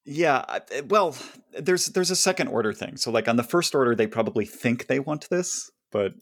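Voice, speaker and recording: A man's voice: mid-range voice, lively intonation, normal speed, fairly bright tone, no room sound. A man co-hosting a podcast, reading listener questions aloud and joking dryly. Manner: dry, wry, reads listener letters aloud. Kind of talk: casual podcast chat.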